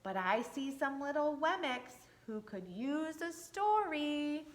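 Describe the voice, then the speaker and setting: A woman's voice: high-pitched, sing-song, slow, voice pushed up, exaggerated voice. A woman doing a beaming wooden mayor. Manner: beaming, proud. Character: cheerful fussy male wooden mayor.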